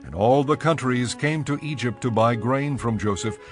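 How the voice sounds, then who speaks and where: A man narrating scripture from a script in a measured, formal way. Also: mid-range voice, soft background music.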